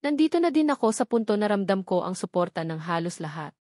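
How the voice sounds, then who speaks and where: A woman's voice: medium pitch, sing-song, quick pace, a little mellow, dry recording, studio quality. A woman reading a romance novel aloud as a scripted first-person narration. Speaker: audiobook narrator.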